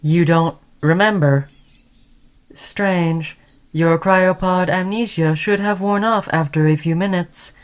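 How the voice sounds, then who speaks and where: A woman's voice: lowish voice, steady tone, slow, smooth. A woman voicing a friendly, caring, obsessively devoted sentient robot in a chatty, soothing audio roleplay. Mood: fond, earnest. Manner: chatty, soothing, addressing listener as human friend. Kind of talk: scripted ASMR roleplay addressed to the listener.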